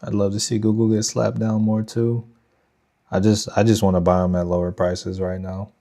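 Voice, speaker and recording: Young Texan man, trading stream host, loose slangy thinking-aloud delivery, mellow gravelly voice. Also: lowish voice, little pitch movement, moderate pace. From Texas. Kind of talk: casual live market commentary.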